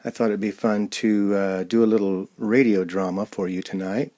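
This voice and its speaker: A man introduces things as a casual host. His voice is on the low side, and he talks off the cuff in halting phrases. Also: steady tone, mellow. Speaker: host and amateur radio-play performer.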